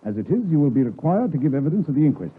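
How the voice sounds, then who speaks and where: An actor playing an officious British Scotland Yard inspector in a 1950s radio drama, formal, polite and insistent. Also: male voice, a little high, big pitch swings, rapid-fire, slightly rough. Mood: firm. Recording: muffled, lo-fi 1950s radio broadcast.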